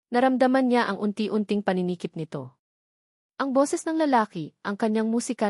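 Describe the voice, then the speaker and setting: A woman with a Filipino accent reads a scripted love story as narrator, in a measured, emotive storytelling delivery. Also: mid-range voice, a little mellow, no room sound, studio quality.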